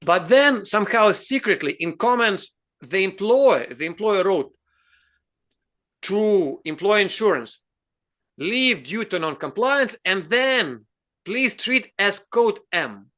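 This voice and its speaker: A man with a Russian accent, a native Russian speaker, hosting an explanatory talk in English, earnest and halting with frequent fillers and restarts. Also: sits high, lively intonation, fairly bright tone. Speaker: host.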